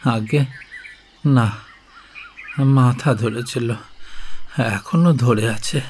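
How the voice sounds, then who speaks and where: A man playing an ailing, courteous older father, weary and halting, in a Bengali audio drama. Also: mid-range voice, a little mellow, slight background noise. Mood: weary, polite. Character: ailing older father, feverish, polite male patient.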